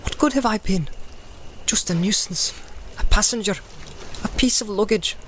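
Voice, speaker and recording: A man's voice: sits high, moderate pace, fairly bright tone, slight background noise, voice pushed up. A man voicing a small, frightened, self-pitying young halfling captive for a fantasy audiobook. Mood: miserable.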